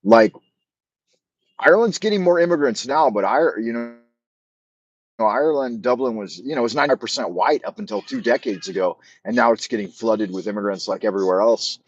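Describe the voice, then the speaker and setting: A man's voice: on the low side, animated, brisk, highs cut off. A middle-aged man chatting on a casual live stream, rambling with frequent restarts and repeated words, and speaking bluntly. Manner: blunt, swears freely. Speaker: livestream host.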